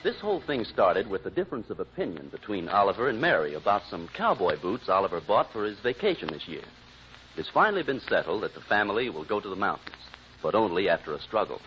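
A man's voice: fairly low pitch, very animated, fast, fairly bright tone, muffled recording, slight background noise. A man working as announcer, reading scripted narration for a vintage radio show, on an old recording.

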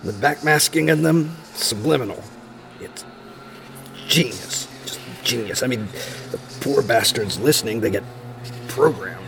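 An actor playing a disturbed, paranoid locked-up man in a horror audio drama, halting and repeating himself as he broods. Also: mid-range voice, animated, vocal fry, background noise. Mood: paranoid, fervent.

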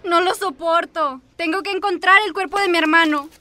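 A woman's voice: extremely high pitch, normal speed, background noise. A young woman playing an earnest student protester in a radio drama. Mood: grief-stricken. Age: young adult. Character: anguished young female student protester searching for her brother. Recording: radio drama with music underneath.

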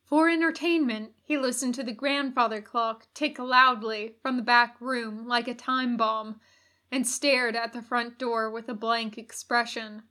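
A woman reading a story aloud as expressive scripted narration. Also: on the high side, unhurried. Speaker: audiobook reader.

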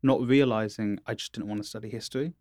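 A young man from England chatting casually on a podcast, rambling and quick to laugh. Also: lowish voice, steady tone, a little mellow, no room sound, clean studio sound. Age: young adult. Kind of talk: casual chat.

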